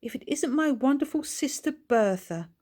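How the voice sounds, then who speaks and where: A woman's voice: high voice, expressive, normal speed, warm tone, voice pushed up. A woman voicing a wiry, grand, affectionate lady of the manor, genteel, effusive and used to giving orders, in a storybook. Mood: astonished delight.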